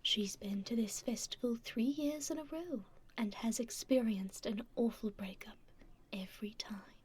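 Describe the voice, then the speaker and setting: A woman playing a kind, teasing stranger in an intimate ASMR roleplay, speaking gently and unhurriedly in a breathy, whispery voice. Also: a little high, measured pace. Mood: wistful, curious. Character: kind, teasing female stranger caring for a festival-goer.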